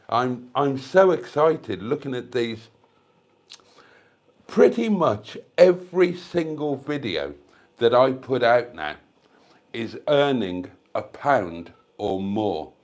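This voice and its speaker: An older man with a British accent hosting a casual livestream from home, congested with a cold and coughing, calling his viewers darlings in a rambling, self-mocking way. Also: medium pitch. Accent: British English. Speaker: YouTube livestream host. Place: at home, near the fridge. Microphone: desk microphone.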